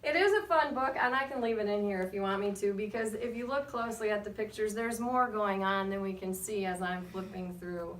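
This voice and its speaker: A woman reading a picture book aloud to children as a guest storyteller, with expressive, measured delivery and short asides to her listeners. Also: mid-range voice. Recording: roomy, distant mic, faint background noise.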